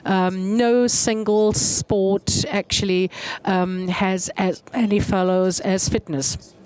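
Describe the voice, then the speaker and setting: A woman interpreting a conference keynote, measured and pausing often with hesitation fillers. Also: mid-range voice, fairly even intonation, darkish tone, clean tone, rough recording.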